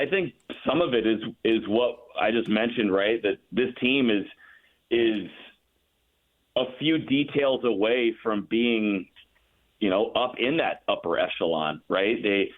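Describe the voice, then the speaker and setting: Low-voiced man on a tinny phone line. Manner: thoughtful, repeats words as he thinks. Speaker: radio play-by-play announcer as guest. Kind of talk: casual sports talk, analytical. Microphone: phone call.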